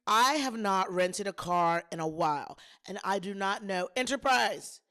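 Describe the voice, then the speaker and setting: A woman hosting a podcast, a little hoarse, chatting and telling a story straight to her listeners with plenty of emphasis. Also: mid-range voice, lively intonation, measured pace, sharp tone. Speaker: podcast host. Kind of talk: casual solo storytelling.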